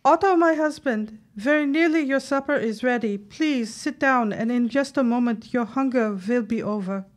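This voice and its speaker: An actress playing a devoted, concerned immigrant wife and housekeeper in an audio drama, with earnest, formal, old-fashioned phrasing. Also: female voice, fairly high pitch, moderate pace, hardly any pauses, natural voice. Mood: attentive.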